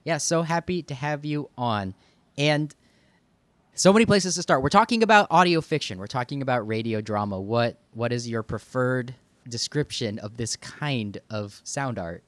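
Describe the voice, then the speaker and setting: A man hosting a podcast in a conversational interview style, with informal, halting phrasing. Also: mid-range voice, animated, a bit bright, dry recording. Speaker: podcast host.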